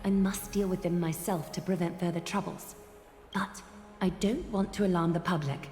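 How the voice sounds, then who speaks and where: A lowish female voice of a stern, burdened noblewoman acted by a woman, formal and composed, as in a fantasy game. Music runs loudly behind her. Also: steady tone, normal speed, lightly acted. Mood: composed, grave. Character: solemn, guarded noble lady, burdened and stern. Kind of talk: video game voice acting. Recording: loud music under the voice.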